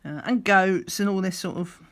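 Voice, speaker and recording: A middle-aged woman, slightly croaky, chatting in a rambling way while doing a craft, sometimes counting under her breath. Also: medium pitch, moderate pace. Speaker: crafting vlogger. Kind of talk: relaxed chatty craft vlog. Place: at a craft table indoors.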